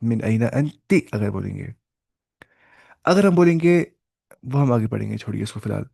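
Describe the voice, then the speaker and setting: A man teaching an online language class over a video call. He explains patiently, repeats points, and slowly sounds out each example phrase. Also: fairly low pitch, expressive, vocal fry, a little mellow, breathy edge, no echo, studio quality. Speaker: Arabic teacher.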